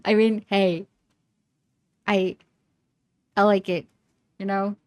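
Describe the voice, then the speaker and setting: Slow-talking female streamer, dry close mic. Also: medium pitch, steady tone, darkish tone, clear, resonant tone, dry recording. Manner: hesitant, repeating words as she thinks aloud. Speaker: streamer reacting to a game trailer. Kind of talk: casual live reaction commentary. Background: faint music from the trailer.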